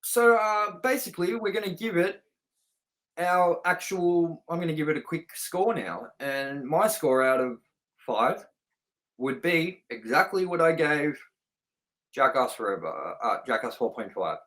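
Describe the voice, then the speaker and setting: A man's voice: fairly high pitch, expressive, measured pace, fairly bright tone, poor audio. A man co-hosting a movie review show, chatting informally and bluntly, with some swearing. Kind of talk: casual review chat.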